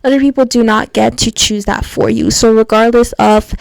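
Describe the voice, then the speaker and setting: A young woman with a higher voice and a gravelly, fry-laden edge. She talks earnestly and casually, full of "like" and "kinda", into a close, dry mic with no room sound. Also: fairly even intonation, moderate pace, a little mellow, dry recording, poor audio. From United States. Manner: casual, filler-heavy, earnest and reflective. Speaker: podcast host. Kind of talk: solo podcast monologue.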